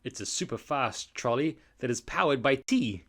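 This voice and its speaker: A man's voice: medium pitch, expressive, moderate pace, a bit bright. A man voicing a brave, cheerful female tea seller in a storybook, bold and hospitable. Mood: resolved.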